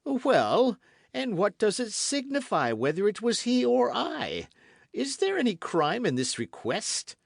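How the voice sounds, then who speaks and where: A man's voice: on the high side, wildly swooping pitch, a little slow, no room sound, studio quality, voice pushed up, exaggerated voice. A man voicing a stern, cold-hearted king in an audiobook, speaking in a raised, demanding, imperious way. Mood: evasive. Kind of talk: audiobook character reading.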